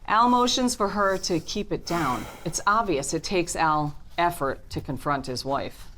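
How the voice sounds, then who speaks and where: A woman's voice: on the low side, measured pace, fairly bright tone, slight background noise. A woman narrator reading screenplay stage directions as scripted narration at a table reading.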